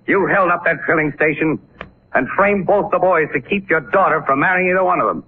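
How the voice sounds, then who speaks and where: Actor as probing 1940s radio newshound, muffled recording. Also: male voice, a little high, a little fast, a little hiss or hum, natural voice. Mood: accusing. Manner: casual, clipped, probing. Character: sharp, persistent newspaper photographer sleuth, adult man. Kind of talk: 1940s radio drama acting.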